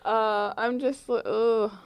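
A woman's voice: fairly high pitch, fairly even intonation, very slow, smooth. A woman in her late twenties chatting casually as an interview guest, often peppering her talk with "like". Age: late 20s.

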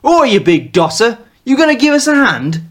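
A man voicing a gangly, hot-headed young male traveller with a Mancunian accent, shouting coarsely. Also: extremely high pitch, big pitch swings, normal speed, voice pushed up, heavily acted. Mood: angry.

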